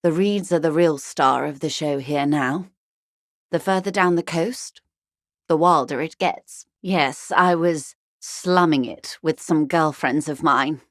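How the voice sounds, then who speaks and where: A woman's voice: deep voice, slow, no echo, clean studio sound. An actress playing a wealthy, worldly older woman in a video game, articulate, arch and wryly condescending. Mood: wry, informative, then amused, urbane. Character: wealthy, worldly older woman, urbane, wry, intellectually vain. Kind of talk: video game character dialogue.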